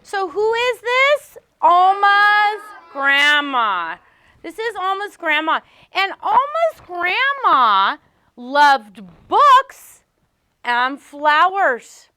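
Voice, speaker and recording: A woman's voice: very high-pitched, very animated, drawn-out words, bright, forceful tone, dry recording, clean studio sound. A woman teacher reading a storybook aloud to young children, asking simple questions and repeating key words with emphasis. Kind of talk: interactive storybook read-aloud lesson.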